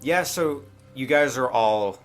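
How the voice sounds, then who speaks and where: A man's voice: medium pitch, slow pace. A man narrating a tabletop game as game master in a casual, conversational way, improvising as he goes and often checking in with his players. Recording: faint music behind the voice. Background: faint music.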